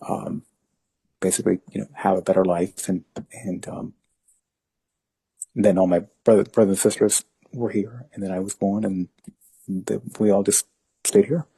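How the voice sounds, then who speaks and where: A man's voice: low-pitched, steady tone, moderate pace, creaky, long pauses, warm tone, breathy edge, no room sound, poor audio. A man in his fifties from New York with Spanish as his first language, talking in a casual interview and rambling with many fillers. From New York, family from Honduras. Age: 50s. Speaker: guest.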